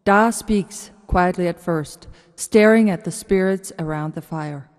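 Female narrator for radio drama stage directions. Slow, measured pace, long reverb. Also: on the low side, darkish tone.

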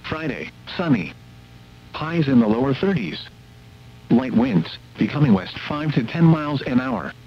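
A man reading a scripted weather forecast as a radio announcer, in a formal, measured delivery. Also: on the high side, big pitch swings, creaky. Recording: muffled weather radio broadcast, hum and static.

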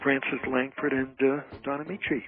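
A man's voice: mid-range voice, little pitch movement, a little slow. An older man, a radio guest, chatting casually and repeating phrases for emphasis over a phone. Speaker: guest, entertainer and author. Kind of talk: casual interview chat. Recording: dry tinny phone line.

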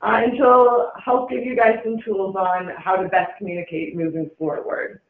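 Guy with a pretty high voice presenting event stuff informally, echoey meeting room. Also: little pitch movement, moderate pace, gravelly, phone-quality sound, background noise. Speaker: event organizer presenting an agenda. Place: indoor meeting room, conference call. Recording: roomy, echoey conference call room.

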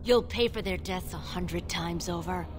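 Woman playing a scornful, low-voiced female crime boss. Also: unhurried, faint music behind, close to an everyday voice. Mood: scornful menace. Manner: commanding, scornful, menacing. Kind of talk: fantasy video game acting.

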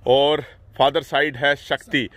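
A man hosting a farm video, explaining the animals outdoors in a steady, explanatory way. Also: medium pitch, slow, dry recording. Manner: steady explanatory, frequent "haan haan" backchannels. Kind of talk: on-site explanatory commentary.

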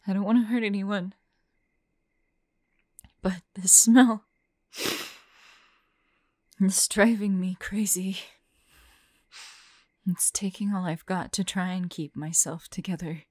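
A woman voicing a tormented young monster girl fighting bloodthirst, tender and frightened, halting and often dropping into whispers in an intimate audio roleplay. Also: medium pitch, fairly even intonation, darkish tone, dry recording, clean studio sound. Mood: anguished, struggling.

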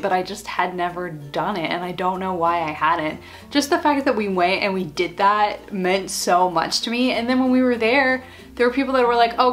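A young woman in her twenties chatting animatedly to a webcam in a small bedroom, telling stories and acting out what her friends said. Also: mid-range voice. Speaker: vlogger. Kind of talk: casual storytime chat.

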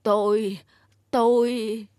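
A woman's voice: on the high side, little pitch movement, very slow, dark tone, no echo, clean studio sound. A woman voicing a frail, anxious old grandfather in a folk-tale cartoon, with hesitant, fearful delivery. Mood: frightened pleading.

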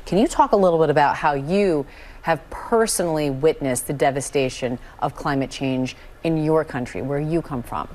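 Low-voiced female TV anchor. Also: normal speed, a little mellow. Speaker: TV news anchor. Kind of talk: news show interview questions. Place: TV studio.

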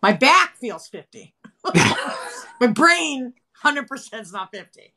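A woman in her fifties hosting a casual live video chat from home, chatty and animated, talking into a phone camera. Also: high-pitched, slow. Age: 50s. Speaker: host. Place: home room with colorful wall. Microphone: phone camera, video call.

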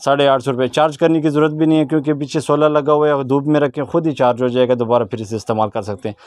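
A man with a Pakistani accent speaks at a middling pitch as he shows products in a small indoor shop. He explains features in a casual, approving way and checks details with questions. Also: steady tone, fast talker, darkish tone, no echo. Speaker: vlogger presenting products in a market shop.

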